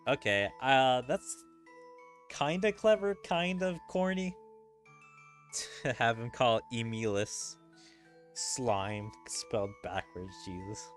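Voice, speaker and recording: Low-voiced man streaming over game music. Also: slow pace. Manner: irreverent, joking asides. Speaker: gaming streamer. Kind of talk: casual gameplay commentary, reading story dialogue aloud. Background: video game music.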